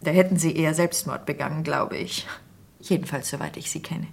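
A husky, composed woman doctor. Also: sits low, fairly even intonation. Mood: probing, composed. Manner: measured, probing, formal. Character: composed, probing woman doctor, wife of an unfaithful husband. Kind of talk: German radio crime drama acting.

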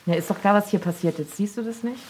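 Actress as female reporter, radio play: rapid delivery, irritable, insistent, natural tone, some ambient noise. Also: mid-range voice, a little mellow, close to an everyday voice, rough recording. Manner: impatient, insistent. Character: pushy, irritable woman media reporter on assignment. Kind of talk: naturalistic German radio drama dialogue.

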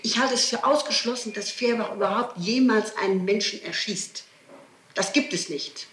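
A woman's voice: mid-range voice, moderate pace, fairly bright tone. Insistent woman on an echoey old tape. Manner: emphatic, insistent, pleading. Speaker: person being questioned by a prosecutor. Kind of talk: spontaneous interrogation answers. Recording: echoey, noisy archival interrogation tape.

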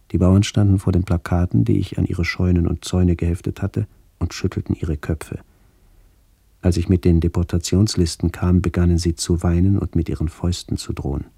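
An older narrator looking back on his village childhood in a radio play. His voice is deep, dark and husky, with a gravelly edge. He speaks in a measured, reflective way, recorded close and dry. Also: dry recording, exaggerated voice. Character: older man recalling his village childhood during the expulsion. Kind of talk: literary first-person radio play narration.

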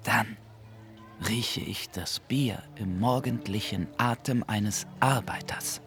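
An actor playing a melancholy, romantic Congolese gentleman in a radio play, speaking deliberately and reflectively with dramatic pauses. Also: lowish voice, over music. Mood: wistful, reflective.

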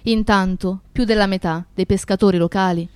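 A woman's voice: medium pitch, fairly even intonation, normal speed, smooth, dry recording, natural voice. A woman playing a comrade who bears witness in a radio drama, in a declamatory, incantatory storytelling manner. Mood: accusing. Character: woman comrade bearing witness, tender yet accusing storyteller. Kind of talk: dramatic radio theatre narration.

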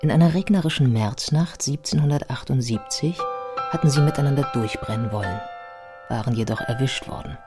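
Deep-voiced woman narrator with music behind. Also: brisk. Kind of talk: scripted biographical narration in a radio play.

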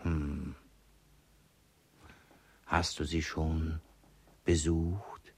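A man's voice: a bass voice, flat delivery, drawn-out words, vocal fry, husky, full character voice. An actor playing a cunning, suspicious old miser and father in a classic comedy radio play, probing and repeating little words. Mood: crafty, firm.

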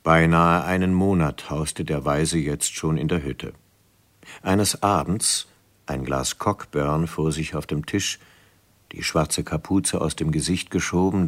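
A man narrating a radio drama as a storyteller, measured and suspenseful. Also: a bass voice, full character voice.

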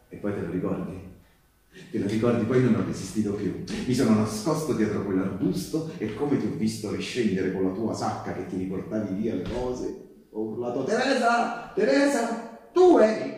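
An actor playing a male wartime partisan in a theatrical monologue, speaking intimately and haltingly to a loved one, with dramatic pauses. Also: fairly low pitch, dark tone, lightly acted. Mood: shy, confessional, then excited calling out. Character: male wartime partisan tenderly recalling youth in the mountains. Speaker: stage actor performing a monologue. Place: live stage performance, recorded from a distance. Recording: distant microphone in a very echoey room.

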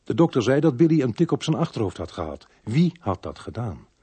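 An actor with a Netherlands Dutch accent playing a sober, dogged police inspector who narrates his own story in a measured, understated radio drama style. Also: medium pitch, big pitch swings, warm tone, husky, no room sound. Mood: reflective, grave. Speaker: actor, lead role and narrator of a radio play.

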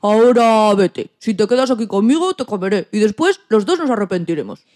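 A woman acting a grumpy, hungry old male wolf with a soft heart in a children's radio play, theatrical and full of exclamations. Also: mid-range voice, lively intonation, a little fast, lightly acted. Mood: urgent, stern.

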